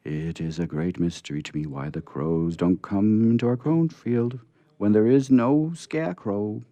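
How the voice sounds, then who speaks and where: A man's voice: fairly low pitch, unhurried, mellow, no room sound, muffled recording, natural voice. A man voicing a stern but easily amazed farm woman in an old storybook, speaking emphatically. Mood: puzzled.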